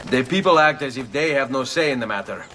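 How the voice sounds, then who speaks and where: An actor playing a calm, determined assassin with an Italian accent and a measured delivery in a video game. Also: male voice, medium pitch, lightly acted. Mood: businesslike. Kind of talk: video game cutscene acting.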